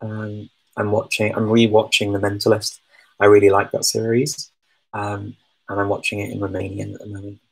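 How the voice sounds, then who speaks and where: A man's voice: on the low side, completely flat delivery, moderate pace, creaky, poor audio. A man answering viewer questions in a casual live chat, talking hesitantly with many ums and restarts. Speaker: language-learning streamer answering viewer questions.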